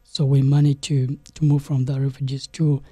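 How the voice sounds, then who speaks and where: Brief: young South Sudanese-born man, dark husky voice, halting, flat delivery, dry close mic. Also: medium pitch, no echo. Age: young adult. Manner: halting, many restarts and "you know". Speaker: guest, game developer. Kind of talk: interview storytelling.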